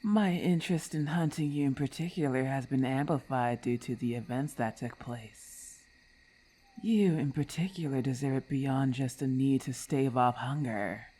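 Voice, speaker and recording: A woman voicing a haughty, menacing female lamia in an ASMR roleplay, with a husky, breathy voice and formal, condescending diction. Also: a bass voice, normal speed, mellow. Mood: scornful, predatory. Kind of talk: ASMR roleplay, breathy half-whispered acting.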